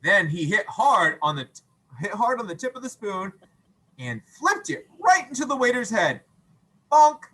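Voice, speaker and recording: A man reading a children's story aloud as a teacher, playful and expressive, with acted sound effects and questions to listeners. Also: high-pitched, normal speed, poor audio.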